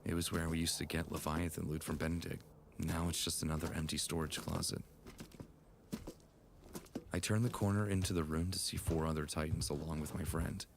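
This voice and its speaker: Guy doing deep earnest wounded warrior in an audio drama, bit of background noise. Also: little pitch movement, fairly quick, gravelly. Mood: warm, grateful. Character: earnest, wounded male Titan warrior, grieving and exasperated. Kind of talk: fan audio drama acting and first-person narration.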